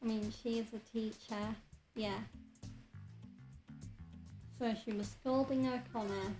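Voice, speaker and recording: A woman game streamer chatting casually over her play, her voice slightly croaky from a cold, with relaxed talk and frequent fillers. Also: fairly high pitch, slow pace, soft background music, low-quality recording. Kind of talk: casual let's-play commentary.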